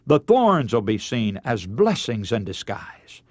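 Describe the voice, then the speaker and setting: A man preaching a radio sermon in a measured, earnest, oratorical way, telling illustrative stories. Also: mid-range voice, sing-song, a little mellow, dry recording, clean studio sound.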